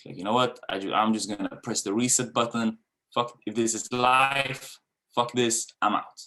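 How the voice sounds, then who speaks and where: A man's voice: medium pitch, fairly even intonation, normal speed, sharp tone. A man in his thirties from Sweden with a Swedish accent, a dance coach giving a candid webinar talk with casual fillers and swearing. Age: 30s.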